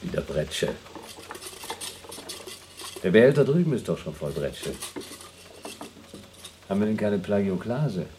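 Deep-voiced, pedantic astronaut. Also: male voice, very animated, measured pace, pauses often, mellow, slight background noise. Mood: fussy, businesslike. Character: earnest, pedantic, fussy male astronaut on the moon. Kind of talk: radio drama acting.

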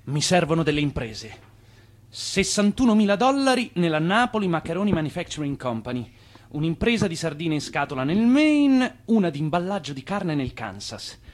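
Self-assured scheming boss, highish voice. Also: male voice, very animated, moderate pace. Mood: curt, self-assured. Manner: curt, commanding. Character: self-assured, scheming male nouveau-riche businessman and boss. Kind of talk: Italian radio play acting.

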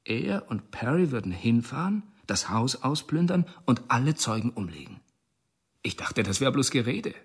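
A plain-spoken prison informant in a radio play. His voice is husky and mid-pitched, with lively rises and falls as he recounts events uneasily. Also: normal speed. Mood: confessional. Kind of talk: radio drama monologue.

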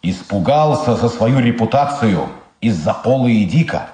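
An actor playing a proud, principled blind war veteran in a radio drama, measured, restrained and ironic. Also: male voice, lowish voice, expressive, darkish tone, roomy sound, natural voice. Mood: bitter irony.

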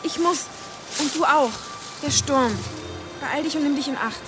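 Plucky anxious young village woman, radio play, high voice, music behind. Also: measured pace. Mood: urgent. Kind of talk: German audio drama acting.

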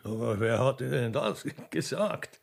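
A man playing a lecherous, flattering aristocrat with an Austrian accent and an oily, repetitive manner in a radio play. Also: medium pitch, unhurried, voice pushed down. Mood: amused. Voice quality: gravelly, husky. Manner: oily, chuckling, repeating himself. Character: lecherous, flattering aristocratic gentleman courting a young girl.

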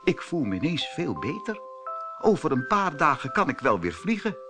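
A man voicing a grateful, gentle male swallow in a fairy tale, speaking earnestly. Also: on the high side, wildly swooping pitch, normal speed, over music, pitched above the performer's own voice. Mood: weakly grateful.

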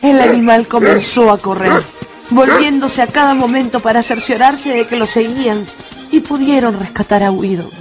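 Radio drama narrator: mid-pitched, scripted storytelling over a clear music bed. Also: normal speed.

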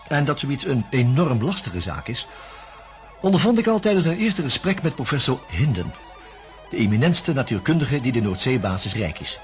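An actor playing a measured, polite male psychiatrist in a radio drama, speaking deliberately and reflectively. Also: medium pitch, very animated, soft background music, natural voice. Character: measured, open-minded male psychiatrist investigating a case. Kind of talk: radio drama acting and first-person narration.

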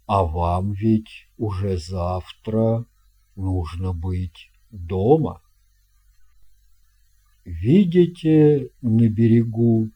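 A dignified captain of a storybook steamship, acted out by a man. His voice is deep and very mellow, and he chooses his words with formal courtesy and weight. He takes his time over every phrase. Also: breathy edge, exaggerated voice. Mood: calm, instructive. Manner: formal, measured, weighty delivery. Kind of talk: audio storybook character voice.

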